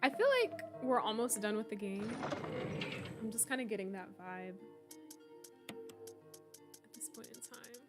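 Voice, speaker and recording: A woman in her twenties, slightly hoarse, chatting casually and laughing often as she streams a game and answers her viewers. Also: fairly high pitch, a little slow, long pauses, loud music behind the voice. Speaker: streamer playing a horror game. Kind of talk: casual live-stream chat, reading out viewer comments.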